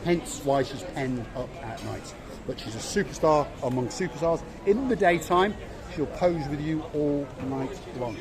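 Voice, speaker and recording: A man's voice: a little high, measured pace, a crowd in the background. Chatty Welsh man on a harbour walk. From Wales. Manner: chatty, jokey, repeats words for emphasis. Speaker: vlog host. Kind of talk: casual walking tour commentary. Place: outdoor harbour at night. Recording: music playing behind.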